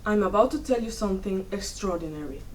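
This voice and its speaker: A young woman giving a dramatic reading of a story, in measured, deliberate short phrases. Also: mid-range voice, animated. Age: young adult. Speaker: student performer in a dramatic reading. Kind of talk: dramatic storytelling read from a script. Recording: distant mic, noisy room.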